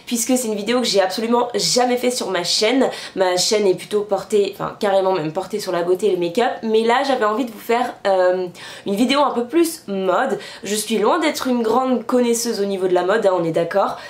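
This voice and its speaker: A woman's voice: mid-range voice, a little fast. A young woman in her twenties chatting casually to her viewers in a vlog, a bit stuffy from a cold, rambling with lots of fillers. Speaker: beauty YouTuber. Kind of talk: casual vlog chat to camera. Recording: echoey room.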